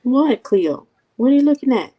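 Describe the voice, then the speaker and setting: Woman with a warm, mellow voice. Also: sits high, expressive, moderate pace. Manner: chatty, reacts with short remarks and asides. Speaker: podcast co-host. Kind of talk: casual chat.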